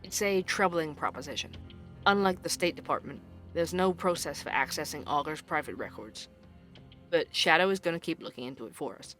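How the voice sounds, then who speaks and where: A man playing an earnest, dogged investigative podcast host in an audio drama, with a crisp, measured delivery. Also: a little high, bright, forceful tone, faint music behind. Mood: earnest, narrating. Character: male investigative podcast host, dogged, weary young employee. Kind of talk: scripted podcast narration and audio drama dialogue.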